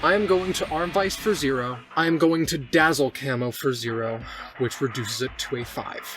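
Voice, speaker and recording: A man's voice: medium pitch, moderate pace. A man playing a tabletop game, narrating a character with earnest, dramatic and sometimes hesitant phrasing. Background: guitar music.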